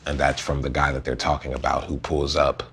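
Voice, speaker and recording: Young male host, deep voice, loose and slangy, jokes a lot. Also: little pitch movement, brisk, fairly bright tone, a little hiss or hum. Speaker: show host, stop-motion creator. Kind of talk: casual vlog chat.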